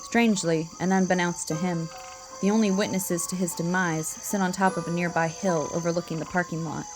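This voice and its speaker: Deadpan woman narrating a dark tale. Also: fairly low pitch, fairly quick, over music, poor audio. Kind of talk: scripted dark-comic narration.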